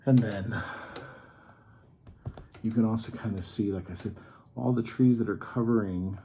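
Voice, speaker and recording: A man's voice: lowish voice, steady tone, measured pace, mellow, a little hiss or hum. A man hosting a children's story time, patiently explaining things in simple terms in an informal, educational read-aloud style.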